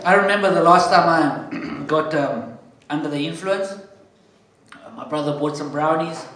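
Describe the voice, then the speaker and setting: South African comedy MC in an echoey room. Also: male voice, fairly high pitch, a little hiss or hum. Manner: deadpan one-liners, chatty asides to the crowd. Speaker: comedy show MC. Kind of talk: stand-up compering. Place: comedy club stage.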